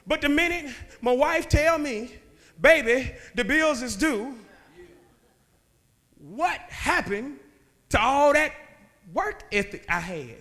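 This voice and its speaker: A man, preaching a sermon that moves between joking talk and shouted calls to the men. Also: very high voice, expressive, takes it slowly, pauses often. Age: 30s. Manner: conversational preaching that builds to shouting, with laughs and rhetorical questions. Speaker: guest preacher. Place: church sanctuary.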